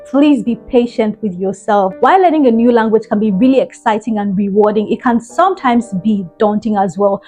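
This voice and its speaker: A woman's voice: a little high, a little mellow. A young woman vlogger giving earnest, encouraging study tips straight to camera, often repeating words for emphasis. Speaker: language-learning vlogger. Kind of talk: tips vlog, direct to camera.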